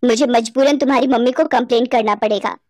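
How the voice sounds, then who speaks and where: A woman's voice: a little high, fast talker, darkish tone, no echo, clean studio sound, natural voice. A woman voicing a stern but caring schoolteacher in a cartoon story, firm and lecturing.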